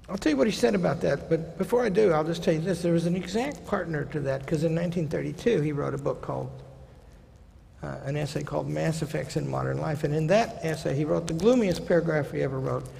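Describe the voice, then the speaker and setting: Gravelly older man lecturing at a podium. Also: fairly high pitch, darkish tone, slight background noise. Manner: conversational, wry asides, slows down for quoted passages. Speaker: college president giving a lecture. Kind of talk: seminar lecture. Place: large lecture hall stage at a podium. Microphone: podium microphone. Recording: hall reverb.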